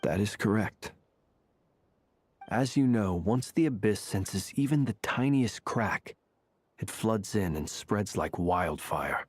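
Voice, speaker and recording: An actor playing a solemn, courteous, centuries-old gentleman in a video game, speaking husky, measured, formal lines. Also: fairly low pitch, lively intonation, dry recording, exaggerated voice. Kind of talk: video game character acting.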